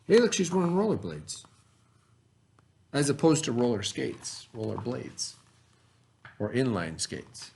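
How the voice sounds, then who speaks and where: A middle-aged man reading a lesson aloud in an instructional way, pausing to explain and repeat key terms. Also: medium pitch, very animated, gravelly, darkish tone. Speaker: teacher reading aloud to children.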